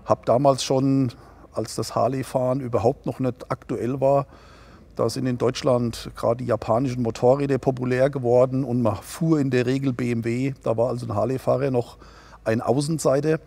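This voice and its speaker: A man in his sixties, co-owner of a dealership, talking calmly in an interview with many hesitations. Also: mid-range voice, moderate pace, creaky. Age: 60s. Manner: relaxed, hesitant with many fillers. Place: showroom, seated on a sofa indoors.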